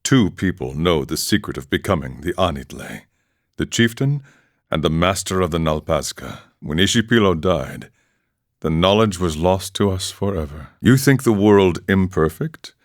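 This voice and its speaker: A man voicing a weathered, philosophical warrior monk and former chieftain in a video game, telling his tale in a measured, solemn, reflective way. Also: low-pitched, expressive, dry recording, studio quality. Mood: grave, storytelling. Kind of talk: scripted dramatic storytelling monologue.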